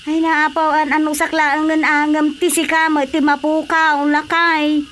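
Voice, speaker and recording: Anxious high-voiced Ilocano wife. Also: female voice, level tone, measured pace, a bit bright, a little hiss or hum. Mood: worried pleading. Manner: pleading, scolding. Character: anxious, nagging village fisherman's wife. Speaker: voice actor. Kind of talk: radio drama comedy acting.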